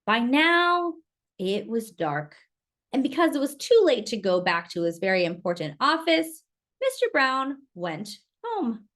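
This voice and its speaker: A woman reading a picture book aloud to young children in an expressive, exclamatory way, with chatty asides and questions. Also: a little high, a little slow. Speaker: children's storytime reader.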